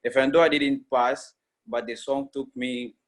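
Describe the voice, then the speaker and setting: A man from Congo, a native Swahili speaker, introduces his own songs in a plain, steady way that halts slightly at times. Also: mid-range voice, moderate pace. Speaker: musician. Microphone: video call.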